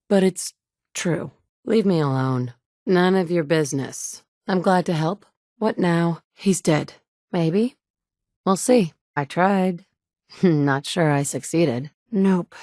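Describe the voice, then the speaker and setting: A woman's voice: lowish voice, slow, warm tone, no room sound. An actress playing a determined young mother searching for her kidnapped son in a video game, speaking in a dry, blunt, sometimes sarcastic way. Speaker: player character. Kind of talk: video game dialogue lines.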